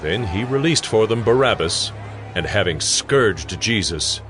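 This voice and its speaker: A man's voice: fairly low pitch, expressive, a little slow, fairly bright tone. A man reading scripted scripture narration as the narrator of a drama. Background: music.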